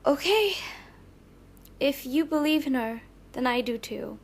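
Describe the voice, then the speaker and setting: Actress as protective young heroine for audio drama: high voice, firm and earnest, careful about risks. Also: female voice, animated, unhurried. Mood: wary, firm. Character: cautious, firm young superheroine, protective of friends.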